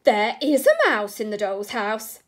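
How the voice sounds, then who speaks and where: A woman's voice: on the high side, wildly swooping pitch, measured pace. Woman playing an emphatic, astonished storybook dad. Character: astonished, kindly father with bristly moustache.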